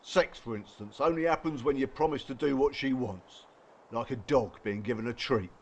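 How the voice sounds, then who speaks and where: A man's voice: medium pitch, normal speed, muffled recording, a little hiss or hum, close to an everyday voice. An actor playing a blunt, streetwise male friend in a radio play, sardonic and challenging in colloquial speech.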